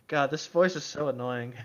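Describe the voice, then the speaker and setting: Higher-pitched man on a dull mic. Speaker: co-host reading online tabletop gaming horror stories. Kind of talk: casual banter mixed with reading stories aloud. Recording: dull, lo-fi microphone sound.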